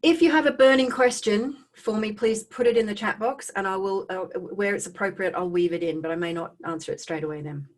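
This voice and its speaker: A middle-aged woman with an Australian accent giving a personal talk to online viewers in a conversational way, with animated asides and checking in with her listeners. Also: medium pitch, fairly quick, hardly any pauses. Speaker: speaker hosting a live online talk on trauma recovery.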